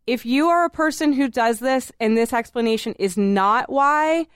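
Brief: woman podcast host, smooth clear voice, animated and laughing, dry close mic. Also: high voice, moderate pace, dry recording. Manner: animated, laughs often, exaggerated. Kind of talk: casual podcast chat.

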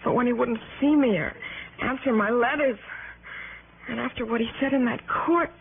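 An actress playing a frightened, earnest young wife in a 1960s radio drama, speaking in an anxious, halting, pleading way. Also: female voice, a little high, close to an everyday voice. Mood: earnest, defensive. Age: young adult. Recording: tinny 1960s radio broadcast, faint noise.

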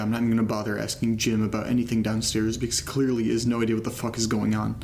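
Horror podcast lead: an uneasy guy taping his diary, fry-laden and even-toned, halting, sweary. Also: fairly low pitch, slight background noise. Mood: shaken. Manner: casual, hesitant, sweary. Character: uneasy man documenting his creepy new house on tape. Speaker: narrator and lead of audio drama. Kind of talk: found-recording horror drama, casual first-person audio diary.

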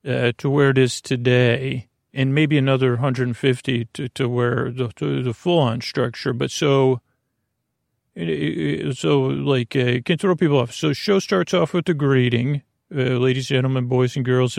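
A man with a creaky voice hosting a sleep podcast, giving a soothing bedtime monologue that meanders and halts with pauses and repeated phrases. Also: mid-range voice, no room sound, clean studio sound. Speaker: podcast host.

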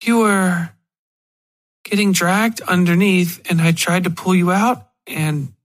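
A man's voice: sits high, steady tone, takes it slowly, voice pushed up. A man voicing a troubled woman in an audio horror story, hesitant and earnest as she speaks. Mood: anxious, hesitant.

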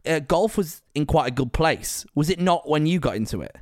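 A man's voice: a little high, expressive, moderate pace. A man, interviewing in a casual, teasing way. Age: 20s. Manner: teasing, bantering. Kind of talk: casual chat.

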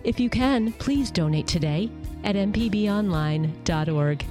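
A woman's voice: mid-range voice, lively intonation, measured pace, a little mellow, soft background music. A woman announcer reading a scripted station promo in an appealing tone.

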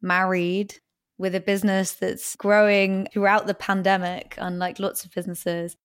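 A woman podcast host with a smooth, clear, low-pitched voice. She asks long, winding questions and softens them with hedging fillers. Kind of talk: conversational interview questions.

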